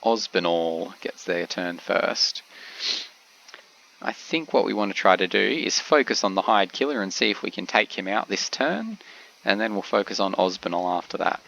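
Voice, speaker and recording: A man with a cold, sounding a little congested, giving relaxed game commentary with frequent pauses. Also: sits low, gravelly, muffled recording. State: has a cold, slightly congested. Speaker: gameplay commentator.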